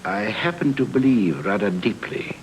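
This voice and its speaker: An actor playing a wealthy, evasive gentleman in a noir radio drama, speaking with precise, courteous formality. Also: lowish voice, expressive, normal speed, a little hiss or hum, lightly acted, rough recording. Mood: measured unease. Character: wealthy, formal, evasive husband who shuns attention.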